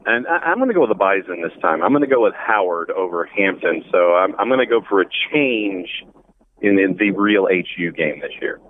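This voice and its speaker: A man's voice: low-pitched, lively intonation, fairly quick. A man chatting casually about sports and giving his predictions over a phone call. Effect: phone line. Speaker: sports commentator on the show. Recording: dull phone call with faint noise.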